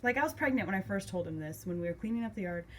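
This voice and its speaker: A woman's voice: medium pitch, lively intonation, rapid-fire. A young woman telling a personal story casually and emotively, acting out remembered conversations as she goes. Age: young adult. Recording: clear background noise.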